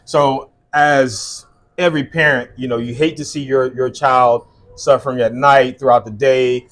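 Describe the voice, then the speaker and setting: A man's voice: medium pitch, fairly even intonation, a little slow, a bit bright. A man in his thirties, originally from South Sudan and Liberia, telling his story as an interview guest in an earnest way with many fillers. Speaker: guest, skincare business owner. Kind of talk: casual interview conversation.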